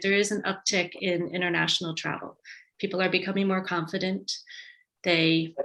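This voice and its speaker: A woman's voice: medium pitch, fairly bright tone, low-quality recording. An American woman giving a friendly, conversational online presentation over a video call, with frequent ums and small restarts. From United States. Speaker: tourism marketing advisor presenting a webinar. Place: indoors at home base in a city.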